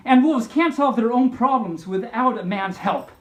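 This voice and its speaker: A woman's voice: a little high, expressive, moderate pace, reverberant, close to an everyday voice. A woman voicing a confident, indignant young girl from a storybook, with a declamatory, lecturing manner.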